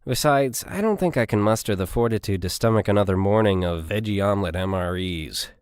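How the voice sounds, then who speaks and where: A man's voice: lowish voice, expressive, fairly quick, clear, resonant tone, dry recording, clean studio sound, close to an everyday voice. A man voicing a polite, weary female scientist in a military audiobook. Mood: wry, declining. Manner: polite, restrained.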